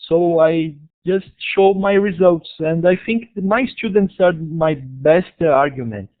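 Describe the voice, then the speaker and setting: A man from Brazil with a Brazilian Portuguese accent, giving a talk about his school over an online conference in halting English with many restarts. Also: a little high. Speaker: guest speaker, school founder. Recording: tinny online call audio.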